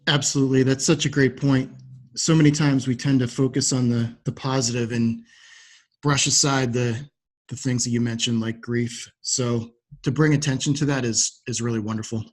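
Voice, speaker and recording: A man hosting a podcast interview, responding in a measured, affirming and supportive way. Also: medium pitch, steady tone.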